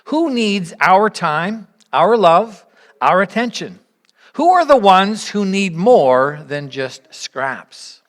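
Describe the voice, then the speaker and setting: A woman leads a congregation through a reflective sermon. She speaks in measured, earnest phrases, often breaking them into short pieces. She poses rhetorical questions and leaves pauses between her points. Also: fairly low pitch. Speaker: church speaker leading an interactive service.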